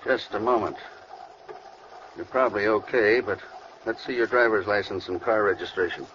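A man's voice: on the low side, a bit bright, natural voice. Man as a methodical cop, muffled old radio. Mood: suspicious, firm. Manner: measured, official, courteous. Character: firm, methodical, unflappable male police officer. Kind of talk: old-time radio crime drama acting. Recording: muffled, noisy vintage radio recording.

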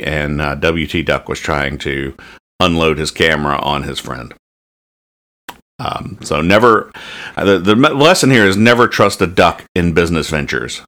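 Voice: rumbling low, a bit bright. A podcast host chatting casually and jokingly about comic strips, often restarting as thoughts form.

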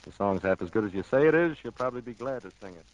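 A man's voice: mid-range voice, animated, fast, a little mellow, lightly acted. A man playing an earnest, bashful young farmer, plain-spoken and a little hesitant, in 1940s radio drama style. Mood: earnest, unsure. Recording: dull old radio broadcast, music behind. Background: music.

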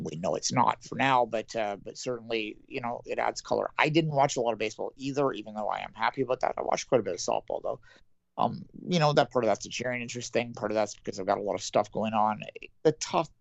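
Gravelly low male analyst, dull lo-fi mic. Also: no room sound. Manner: halting, many restarts and fillers, thoughtful. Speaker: podcast co-host, sports analyst. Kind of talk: casual sports chat.

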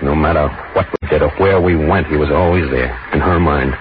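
A man's voice: extremely low pitch, fairly quick, background noise. An actor with an American accent playing an anxious, weak-willed lovesick man narrating a 1940s radio thriller, hesitant and intense. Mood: brooding, uneasy. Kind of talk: 1940s radio suspense acting with first-person narration. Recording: muffled 1940s radio recording, music behind.

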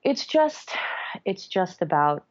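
Woman, grew up in France, low voice, muffled lo-fi sound, thoughtful, restarts sentences, podcast guest. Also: big pitch swings, slow pace, darkish tone. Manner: thoughtful, many restarts and self-corrections. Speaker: guest, jazz band leader. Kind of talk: conversational podcast interview.

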